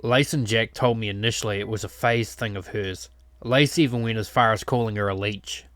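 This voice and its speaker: A man's voice: on the low side, fairly even intonation, a little fast, a bit bright, no room sound, lightly acted. A man voicing a reserved, remorseful young man in a visual novel, speaking in a subdued, sincere way. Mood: matter-of-fact.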